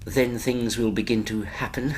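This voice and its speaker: A man's voice: mid-range voice, steady tone, normal speed, close to an everyday voice. An actor playing a pompous, avuncular, slyly jovial male employer in a period radio drama, with a patronising, theatrical manner. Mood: confiding, avuncular.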